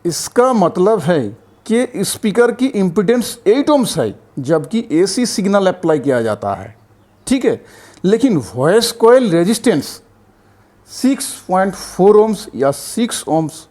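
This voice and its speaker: High-pitched, animated man explaining step by step. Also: slow. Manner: repetitive, checks in with frequent tag phrases. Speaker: tutorial presenter. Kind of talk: explanatory electronics tutorial.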